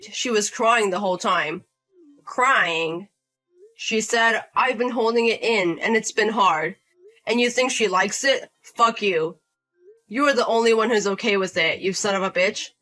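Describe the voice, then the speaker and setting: A woman speaks as a stern husband standing up for his wife, indignant and confronting. The voice is bright and stays at an everyday pitch, with a firm, direct delivery in a drama story. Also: low-quality recording. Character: protective angry husband, younger brother.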